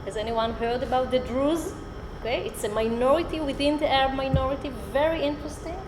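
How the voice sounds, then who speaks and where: A woman's voice: high-pitched, brisk. A middle-aged Israeli woman, a Hebrew speaker, giving an unprepared guest lecture in English with many "uh" fillers, filmed by a far camera in a lecture room. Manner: animated, gestures a lot, many "uh" fillers. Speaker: college lecturer. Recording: roomy hall with background noise.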